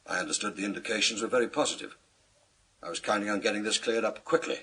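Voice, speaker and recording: An actor playing a pompous, image-obsessed senior British police chief in a radio thriller, formal and given to pauses. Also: male voice, on the low side, a bit bright, slightly rough. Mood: weary, worried. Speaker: actor in a radio crime drama.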